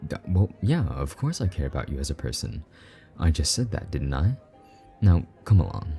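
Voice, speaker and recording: A man's voice: rumbling low, sing-song, moderate pace, gravelly, warm tone, faint music behind, exaggerated voice. A man playing a possessive, teasing demon lover in an intimate roleplay monologue, taunting and doting by turns. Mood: possessive, proud.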